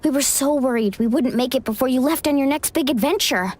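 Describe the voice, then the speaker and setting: A woman's voice: sits high, a little fast, darkish tone, slight background noise, close to an everyday voice. A woman voicing a cheerful, impulsive young girl in a video game, with a bubbly, eager, casual manner. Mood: excited. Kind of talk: video game story voice acting.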